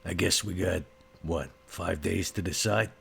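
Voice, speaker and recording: A man voicing a laconic, practical vampire in a tabletop roleplay, gravelly and terse. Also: deep voice, fairly even intonation, measured pace, a bit bright, slight background noise, heavily acted. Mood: practical, resigned. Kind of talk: actual play roleplay dialogue.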